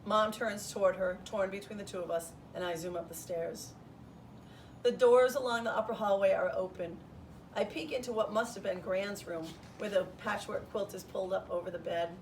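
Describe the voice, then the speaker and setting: A woman reads a first-person children's story expressively, like a primary teacher. Her mid-pitched voice has a creaky edge. The mic sits at a distance, and faint noise runs underneath. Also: steady tone, normal speed. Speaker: third grade teacher reading aloud.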